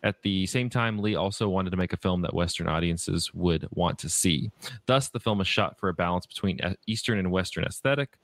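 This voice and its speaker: A man hosting a podcast, reading prepared film notes aloud in a halting way and stumbling over words. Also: low voice, no echo. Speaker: podcast host.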